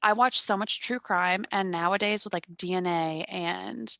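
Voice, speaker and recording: A woman in her twenties hosting a podcast on a headset, chatting casually in a calm, fairly monotone voice with lots of fillers and deadpan humor. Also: lowish voice, normal speed, vocal fry, no echo, clean studio sound. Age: 20s. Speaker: podcast host. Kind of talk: casual chat. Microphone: gaming headset.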